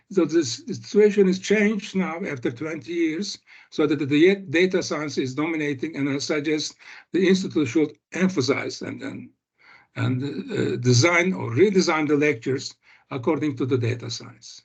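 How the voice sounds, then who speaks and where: An older man giving an academic seminar on a video call, speaking haltingly with many fillers and restarts. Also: on the high side, gravelly, a little mellow, husky. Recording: muffled video call audio.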